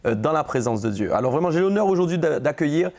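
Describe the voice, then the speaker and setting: Gravelly-voiced male talk-show host. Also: a little high, expressive, fast. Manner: warm conversational, frequent fillers and restarts. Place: studio set.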